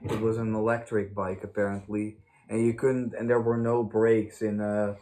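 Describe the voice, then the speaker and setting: A man chatting casually as a vlog host, telling stories in a halting, stammering, joking way. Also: fairly low pitch, flat delivery, warm tone. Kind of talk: casual rambling storytime chat. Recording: noisy amateur vlog recording.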